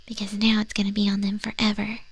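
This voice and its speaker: A woman voicing a gentle, sincere moth monster girl tattoo artist in a soft, breathy, tender ASMR roleplay. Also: medium pitch, completely flat delivery, quick pace. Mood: tender, earnest.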